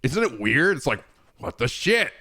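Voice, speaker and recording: A man's voice: medium pitch, big pitch swings, normal speed, pushed and bright. A man in his thirties chatting casually on a livestream, rambling and swearing freely with frequent laughs. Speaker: streamer.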